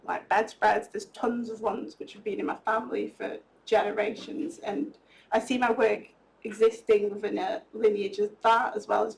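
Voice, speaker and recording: Woman, young adult, artist on a panel, chatty, gravelly voice. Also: on the high side, rough recording. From North East Wales. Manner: chatty, lots of "like" fillers. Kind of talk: casual panel talk.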